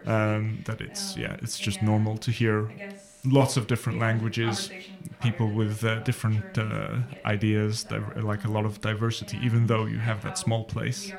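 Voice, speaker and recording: A man, a panel guest who speaks haltingly with many pauses. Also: fairly low pitch, completely flat delivery, vocal fry, crowd noise. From Wales. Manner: halting, lots of uhs. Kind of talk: panel discussion.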